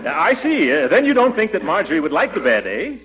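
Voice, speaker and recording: A man's voice: fairly high pitch, very animated, brisk, a faint crowd. A man playing a fussy, easily flustered middle-aged uncle in 1940s radio comedy, hemming and exclaiming. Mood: uneasy, put out. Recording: dull vintage radio recording.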